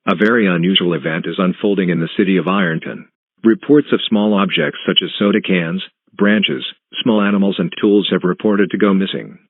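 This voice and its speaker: A man reading a scripted emergency alert announcement in a formal, impersonal, measured manner. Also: deep voice, no echo. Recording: tinny phone-like alert broadcast audio.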